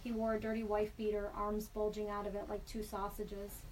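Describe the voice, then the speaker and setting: A woman in a studio reading a personal story aloud in a measured, deliberate way. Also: medium pitch, monotone, a little mellow. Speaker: storyteller guest. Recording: slightly distant mic with faint background hiss.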